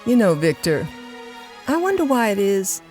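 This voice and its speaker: An actress playing a restless, coy, money-hungry wife in an audio drama. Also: female voice, medium pitch, a little mellow.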